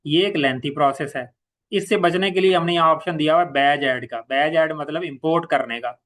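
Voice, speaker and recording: Male software trainer, Pakistani accent, slightly high voice. Informal, instructional walkthrough delivery, repeats key points, drops in English terms. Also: a little fast. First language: Urdu. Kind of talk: informal step-by-step software tutorial.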